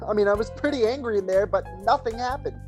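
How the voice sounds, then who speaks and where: A woman playing an excitable, insecure young rookie sidekick, earnest and quick to exclaim, in an animated dramatic reading. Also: on the high side, soft background music, lightly acted. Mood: indignant.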